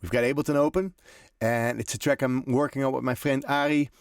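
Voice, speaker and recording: A man's voice: medium pitch, steady tone, fairly quick, smooth, no room sound, studio quality. A man hosting a music production tutorial, explaining informally as he demonstrates, in loose, conversational and often hesitant phrasing. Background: electronic drum and synth loops.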